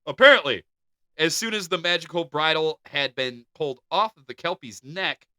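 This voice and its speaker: A man's voice: a little high, moderate pace, no room sound. A man co-hosting a podcast, reading folklore aloud and joking between the passages. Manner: animated, jokey. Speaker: podcast co-host.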